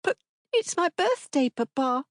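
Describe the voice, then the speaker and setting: A woman's voice: high-pitched, sing-song, measured pace, no room sound, studio quality, pitched above the performer's own voice. A woman voicing a timid, eager seven-year-old girl who speaks in a small, pleading way.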